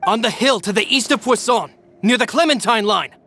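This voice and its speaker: Calm young informant, higher-pitched and bright, just the facts. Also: male voice, moderate pace, full character voice. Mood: informative.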